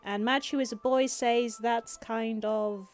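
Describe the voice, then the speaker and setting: A woman's voice: on the high side, steady tone, a little slow, soft background music. A woman streamer with a sarcastic, theatrical manner, reading out game dialogue and commenting on it. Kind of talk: livestream game playthrough commentary, reading dialogue aloud with reactions.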